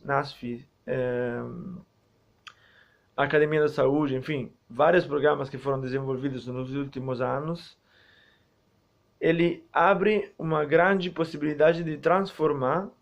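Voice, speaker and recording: A young Brazilian man with a Brazilian Portuguese accent, giving a recorded academic talk on physical education and health, hesitant, with many fillers and restarts. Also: fairly high pitch. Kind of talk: recorded talk for a student group. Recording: dull lo-fi recording.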